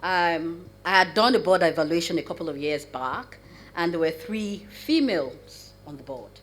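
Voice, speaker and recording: A woman who has a cough and sounds croaky, speaking as a panelist in a measured, explanatory way over a video call. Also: fairly low pitch, expressive, a little hiss or hum. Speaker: corporate board evaluation advisor and panelist. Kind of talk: panel discussion answer.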